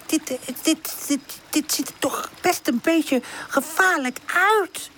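A woman's voice: very high-pitched, very animated, fairly bright tone, a little hiss or hum, voice pushed up, heavily acted. A woman voicing a small, eager but timid little boy animal in a children's storybook, childlike and trusting. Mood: scared, hesitant. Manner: childlike, halting when scared.

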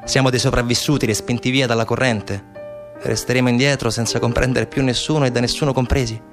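A man giving a theatrical monologue as a stage narrator, measured, with deliberate pauses and repetitions. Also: medium pitch, steady tone. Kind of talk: theatrical monologue, storytelling and recitation. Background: instrumental music.

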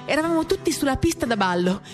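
A woman performing an expressive, theatrical first-person literary reading for radio, moving between animated and hushed delivery. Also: high-pitched, fast. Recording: acoustic guitar accompaniment. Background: guitar music.

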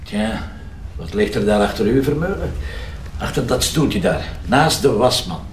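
An actor playing a dry, questioning police commissioner with a Flemish accent and a casual, probing manner. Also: male voice, lowish voice, lively intonation, unhurried. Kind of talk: naturalistic audio crime drama acting. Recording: roomy echo, faint background noise.

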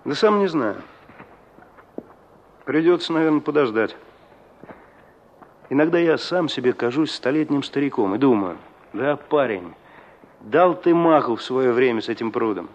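A man's voice: mid-range voice, lively intonation, moderate pace, long pauses. Muffled radio-play village man. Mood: wistful. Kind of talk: Soviet radio drama acting. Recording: muffled old radio drama recording.

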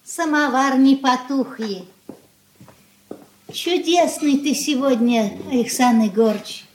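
A woman playing a kindly, soft-hearted old mother, full of hope, in an old radio drama. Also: high-pitched, slow pace. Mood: tender, pleased. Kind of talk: Soviet radio play acting. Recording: roomy, noisy old radio recording.

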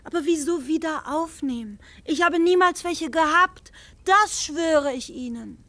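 A guarded young mistress in a radio play, defiant under suspicion. Her voice is high and recorded very dry, and she answers in short, insistent protests. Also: measured pace, bright, forceful tone, dry recording, exaggerated voice. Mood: defensive. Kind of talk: radio drama acting.

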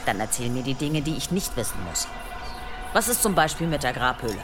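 Low-voiced, defiant village headwoman. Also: big pitch swings, brisk, over music. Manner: determined, defiant, intense. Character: defiant, strong-willed village headwoman out to slay monster. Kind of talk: German audio drama acting.

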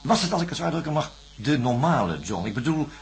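A man's voice: mid-range voice, big pitch swings, fast talker, creaky, soft background music. An actor playing an inquisitive male doctor, carefully questioning someone in a science-fiction radio drama. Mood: curious, careful. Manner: probing, careful wording.

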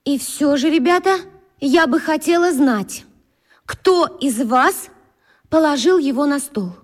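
A composed female schoolteacher for a children's radio play. Her voice is high and measured, patient and earnest. It carries a long, hall-like reverb. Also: expressive. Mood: composed, formal. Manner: measured, addressing a class. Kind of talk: children's radio drama acting.

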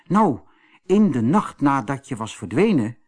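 A man's voice: medium pitch, sing-song, unhurried, a little mellow, slightly rough. A man voicing a caring, anxious male water rat in a storybook, earnest and fretful. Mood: hesitant, distressed.

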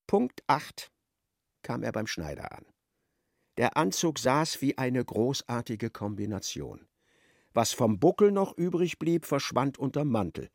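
A man narrating a radio play in an expressive, literary storytelling style. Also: medium pitch, measured pace, vocal fry, dry recording, clean studio sound.

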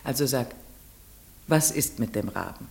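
Casting: strict yet patient schoolmistress for radio play, low register, very lively inflection, probing delivery. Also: female voice, a little slow, creaky, full character voice. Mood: gentle, probing. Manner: questioning, shifts from commanding calls to soft coaxing. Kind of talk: German radio play acting.

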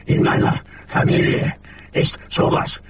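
Man acting a hoarse, rasping, irritable man-beast. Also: very noisy, close to an everyday voice. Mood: haughty, indignant. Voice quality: hoarse, breathy, rattling rasp. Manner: haughty, defensive, drawn-out snapping. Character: proud, irritable man hoarsely turning into a rhinoceros. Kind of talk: 1980s German radio play acting.

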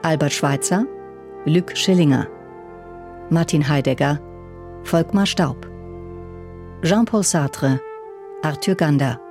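A man's voice: a little high, slow pace, long pauses. A man reading scripted credits as the announcer of a radio production. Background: prominent brass music.

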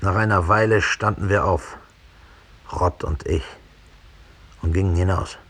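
Husky, low-voiced embittered killer confessing. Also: male voice, fairly even intonation, a bit bright, exaggerated voice. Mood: brooding, bitter. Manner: slow, deliberate, brooding storytelling. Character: cold, embittered middle-aged German killer confessing his murder. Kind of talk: 1960s German radio play monologue.

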